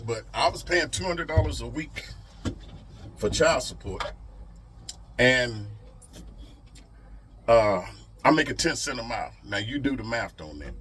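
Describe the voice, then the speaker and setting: A man's voice: medium pitch, lively intonation, unhurried, pauses often, fairly bright tone, distant mic, a little hiss or hum. A middle-aged man talks casually to his vlog audience in a folksy, emphatic way, repeating phrases for effect. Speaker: truck driver vlogger.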